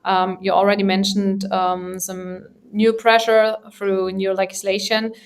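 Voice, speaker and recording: Woman podcast host, halting and searching for words, average pitch. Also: level tone. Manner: hesitant, frequent ums and restarts. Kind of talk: conversational podcast interview.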